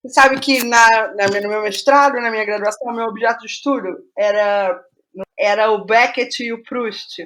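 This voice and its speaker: High-pitched Brazilian woman, thinking aloud. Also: fairly even intonation, moderate pace, a bit bright, poor audio. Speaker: interviewed playwright. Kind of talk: reflective casual interview conversation.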